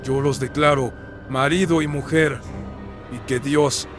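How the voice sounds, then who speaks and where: A man playing a somber, fatherly friar in a horror radio drama, speaking with measured, ceremonious gravity through voice processing. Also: medium pitch, fairly even intonation, soft background music, voice pushed up. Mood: solemn, ceremonious. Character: solemn, somber colonial friar, fatherly and resigned. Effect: processed voice filter.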